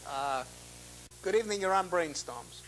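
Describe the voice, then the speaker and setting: A man with a bright, fairly high voice hosts a live call-in program. He sounds gruff and exasperated, brushing callers off curtly. The recording is noisy public-access TV audio with an audible buzz. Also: animated, moderate pace. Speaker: host of a call-in show.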